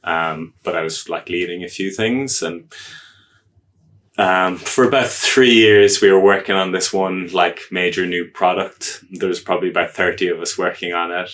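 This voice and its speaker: A man with an Irish accent, a guest on a podcast, chatting casually in a relaxed, self-deprecating way. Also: low-pitched, moderate pace. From Ireland. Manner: relaxed, self-deprecating, hesitant with many ums. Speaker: podcast guest, agile coach.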